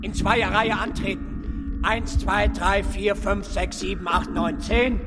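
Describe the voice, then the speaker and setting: An actor playing a brutal prison camp guard in a German radio drama, barking out commands and clipped counts. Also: male voice, high-pitched, steady tone, slow. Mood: harsh, commanding. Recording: long echoing hall, loud music behind.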